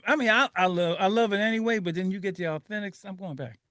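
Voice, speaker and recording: A radio host from Liberia with a fairly high voice talks casually and animatedly, often breaking into laughter. His voice is close and dry, with no room sound. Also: fast, a bit bright, no echo.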